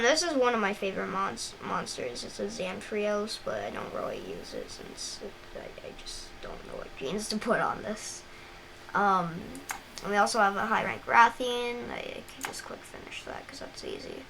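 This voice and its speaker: A woman's voice: fairly high pitch, creaky, bright, forceful tone. Chatty young woman with a scratchy voice. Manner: rambling, filler-heavy, frequent restarts. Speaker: gameplay guide host. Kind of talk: casual gameplay walkthrough. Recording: noisy home recording.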